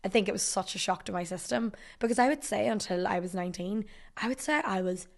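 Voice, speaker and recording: Chatty, slightly hoarse Northern Irish woman. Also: medium pitch, quick pace. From Northern Ireland. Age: 20s. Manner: chatty, laughing storyteller. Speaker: guest. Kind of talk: casual chat. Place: studio.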